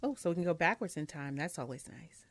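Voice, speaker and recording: A woman's voice: low-pitched, animated, a little fast, a bit bright, a little hiss or hum. A woman doing a casual let's-play, reading on-screen game text aloud with chatty murmured asides, over game music. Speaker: video game streamer. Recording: music playing behind the voice.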